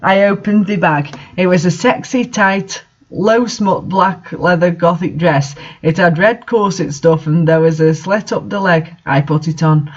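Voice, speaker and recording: Slow, high-voiced man reading aloud, dull recording. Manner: amused, breaking into laughter while reading. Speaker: reader hosting a bad fanfiction reading series. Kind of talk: comedic read-aloud of a story with amused commentary.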